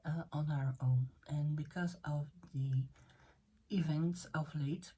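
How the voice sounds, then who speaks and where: A low, husky woman whose first language is Dutch talks slowly through a tarot reading. She pauses often and fills the gaps with "um" and "uh." Her tone is mellow and soft, and she sometimes drops nearly to a whisper. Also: steady tone. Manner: halting, many fillers and restarts. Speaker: tarot reader. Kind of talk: spoken tarot reading video.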